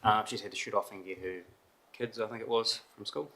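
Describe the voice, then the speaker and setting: A New man who manages a sports organisation introduces himself to a council committee. His lowish voice has a bright, forceful edge, and he keeps it friendly and informal with a few ums. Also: steady tone, fairly quick, vocal fry.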